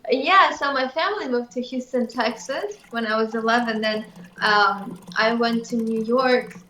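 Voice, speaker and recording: A woman from Russia, a singer guest in an interview, talking in an animated way with many fillers. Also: fairly high pitch, a little slow, roomy sound, a little hiss or hum, poor audio. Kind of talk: casual interview.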